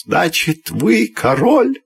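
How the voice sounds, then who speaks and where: Talking firewood for a fairy tale, male actor: envious, sly, mocking schemer with a husky edge. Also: sits high, very animated, takes it slowly, pitched above the performer's own voice, exaggerated voice. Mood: fawning, eager. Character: spiteful, envious aspen firewood logs, scheming.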